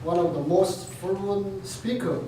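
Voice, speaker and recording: A middle-aged man with a Korean accent, a missionary speaking to a seminar audience in halting English with many pauses and light laughs. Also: high-pitched, dark tone. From South Korea. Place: lecture room. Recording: very echoey room, distant mic, noisy.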